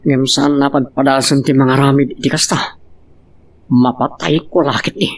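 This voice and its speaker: A man's voice: mid-range voice, steady tone, moderate pace, distant mic, close to an everyday voice. A man with an Ilocano accent playing a hot-tempered cheating husband in a romance audio drama. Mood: agitated, threatening. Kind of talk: Ilocano radio-style drama acting.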